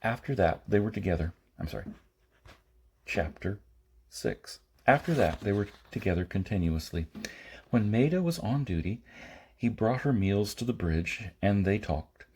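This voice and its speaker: A man reading a science fiction novel aloud as an amateur audiobook narrator, in short phrases with pauses and the odd stumble. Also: lowish voice, lively intonation, a little mellow, slight background noise. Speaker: audiobook reader.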